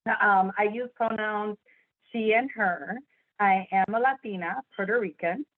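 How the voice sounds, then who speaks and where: A woman's voice: medium pitch, measured pace, no echo. A woman in her forties from Chicago, with Spanish as her first language, talking about herself on an online panel in a chatty way and laughing easily. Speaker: interpreter, panelist. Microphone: video call. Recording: tinny phone-like call audio.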